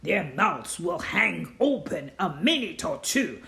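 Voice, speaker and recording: Sly grumpy creature villain played by a woman, storybook read-aloud, lowered pitch, drawn-out mock-sweet words, swooping melody. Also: big pitch swings, creaky, bright, forceful tone, exaggerated voice. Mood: gloating.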